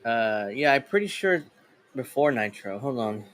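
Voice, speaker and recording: A man's voice: medium pitch, lively intonation, a little slow, fairly bright tone, no room sound. A man co-hosting a casual wrestling podcast, laid-back, swearing freely and laughing as he retells old stories. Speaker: podcast co-host.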